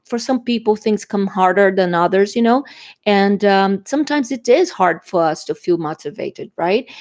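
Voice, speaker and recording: A woman's voice: mid-range voice, darkish tone. A woman from Brazil with a Brazilian Portuguese accent, Portuguese her first language, chatting her way through a tarot reading and often tagging "okay?". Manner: chatty, frequent "okay?" tags and fillers. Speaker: tarot reader.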